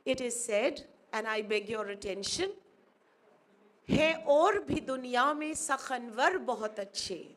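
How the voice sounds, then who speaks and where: Slow, high-voiced woman giving a speech. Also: animated, a bit bright. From India. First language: Urdu. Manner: measured, formal, repeats phrases for emphasis. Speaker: guest speaker, academic. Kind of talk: formal ceremony speech. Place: university auditorium.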